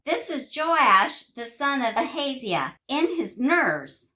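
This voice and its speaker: Woman playing a bright, slow, pleading princess. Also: high-pitched, sing-song, exaggerated voice. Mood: urgent. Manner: earnest, pleading. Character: brave, pleading princess protecting her baby nephew. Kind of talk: audio Bible drama acting.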